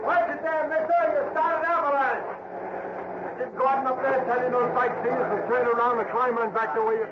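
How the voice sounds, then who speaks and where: An actor playing a chatty, blunt police sergeant in a 1960s radio drama, folksy, emphatic and sure of himself. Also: male voice, very high-pitched, little pitch movement, moderate pace. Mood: gruff warning. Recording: noisy, muffled old radio broadcast.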